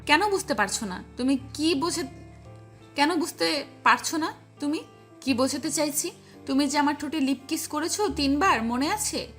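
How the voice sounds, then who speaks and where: High-voiced feisty young girlfriend played by a woman. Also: lively intonation, normal speed, fairly bright tone. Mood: demanding. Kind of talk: acted dialogue in an amateur audio love story. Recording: voice over background music.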